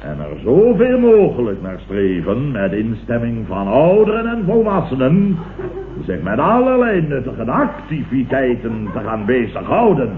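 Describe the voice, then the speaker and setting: Blustering, self-important Dutch boss, very lively intonation, vintage radio comedy, tinny sound. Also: male voice, medium pitch, measured pace. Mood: lecturing. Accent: Dutch, from the Netherlands. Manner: pompous, indignant, declamatory, with repeated sputtering interjections. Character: blustering, pompous middle-aged businessman, opportunistically turned leftist. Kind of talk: 1960s-70s radio comedy acting. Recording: tinny, echoey, hissy old radio recording.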